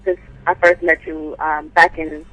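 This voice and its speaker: A woman poet calling in to an interview, answering thoughtfully with frequent pauses and fillers. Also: deep voice, little pitch movement, a bit bright, low-quality recording. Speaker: guest poet and writer. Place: calling in from home by phone.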